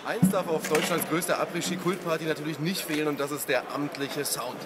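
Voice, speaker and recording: A man's voice: a little high, steady tone, brisk. A man in his thirties presenting an on-location promotional report in a chatty, upbeat announcing manner. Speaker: radio presenter reporting on site. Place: event site, outdoors and inside big tent. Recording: loud ambient noise, on-camera location sound.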